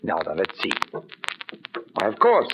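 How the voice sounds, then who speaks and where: An agitated, theatrical radio-drama lover. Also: male voice, low-pitched, wildly swooping pitch, a little slow, highs cut off, full character voice. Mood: forced calm. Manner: theatrical, emphatic, indignant. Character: agitated lover struggling to stay rational. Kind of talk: 1940s radio thriller acting. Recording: noisy old band-limited radio recording.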